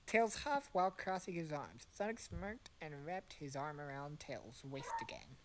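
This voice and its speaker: Woman reading fanfic out loud, lowish voice but lively and bright, casual and a bit exasperated. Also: normal speed, no echo. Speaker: narrator of a fan fiction reading. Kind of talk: amateur story narration among joking friends.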